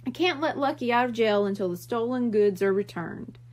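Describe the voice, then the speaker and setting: A calm small-town police officer from a storybook, read by a woman, speaking with measured authority. The voice sits high and keeps a varied, lively intonation. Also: close to an everyday voice. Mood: skeptical.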